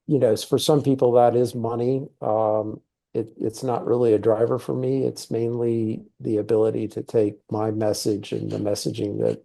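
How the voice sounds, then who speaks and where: A man's voice: fairly low pitch, vocal fry, mellow. A middle-aged man who grew up in Thailand, talking casually in an interview with lots of fillers. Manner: easygoing, rambling with many fillers. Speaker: guest, TV series producer.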